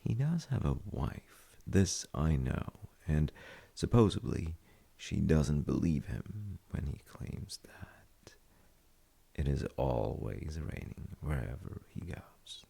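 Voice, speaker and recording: A man playing a gentle, thoughtful, reassuring dad in a soft-spoken comfort roleplay, hesitant and reflective, with a husky, gravelly voice. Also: very deep voice, very animated, heavily acted. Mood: gently musing. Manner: hesitant, reflective, pausing mid-sentence. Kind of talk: soft-spoken sleep-aid audio roleplay.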